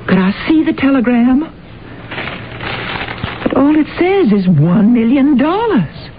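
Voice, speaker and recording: Fussy housekeeper, tinny old radio. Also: female voice, high voice, very animated, slow pace, a little hiss or hum, full character voice. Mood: curious. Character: devoted, fussy female housekeeper, inquisitive and solicitous. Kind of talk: old-time radio drama acting.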